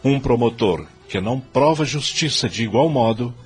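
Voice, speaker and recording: An actor playing a solemn, high-minded law professor giving a formal, emphatic graduation speech in an audio drama. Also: male voice, animated, moderate pace, husky. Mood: solemn, exhorting. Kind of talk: ceremonial oratory in an audio drama. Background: music.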